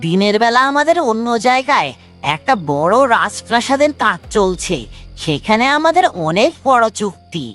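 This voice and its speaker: A woman's voice: on the high side, very animated, moderate pace, fairly bright tone, soft background music. A woman voicing a sly male thief who poses as a humble mason in a cartoon story, theatrical and wheedling. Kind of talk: dramatic animated moral story.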